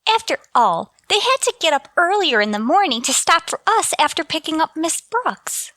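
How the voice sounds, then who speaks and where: A woman's voice: very high-pitched, sing-song, normal speed, sharp tone, no echo, full character voice. A woman playing an earnest, polite teenage girl, a principal's daughter, in a radio comedy. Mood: protesting, earnest. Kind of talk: old-time radio comedy acting.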